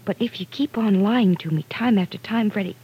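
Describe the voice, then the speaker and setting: Earnest, probing woman, firm and measured, husky mid-range voice, classic radio serial. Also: mid-range voice, highs cut off. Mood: stern, reproachful. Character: persistent, direct, earnest working woman questioning a friend. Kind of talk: old-time radio drama acting.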